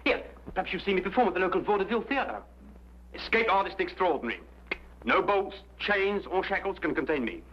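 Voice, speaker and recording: A frantic Cockney escape artist in an old detective drama. His pitch swoops about as he rattles on, repeating himself and pleading. The recording is dull, with some hum. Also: expressive, fairly quick. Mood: boastful, breezy. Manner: rambling, repetitive, pleading.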